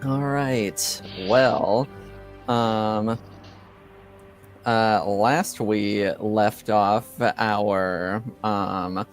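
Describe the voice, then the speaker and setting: Slow, low-pitched man as game master. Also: fairly even intonation, soft background music. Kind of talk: casual recap of a tabletop game story.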